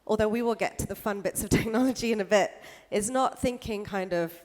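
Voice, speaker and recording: A woman's voice: medium pitch, fairly quick, a little mellow. Woman giving a talk in an echoey hall. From United Kingdom. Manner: casual, many fillers like "kind of" and "like". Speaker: speaker. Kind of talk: conference talk.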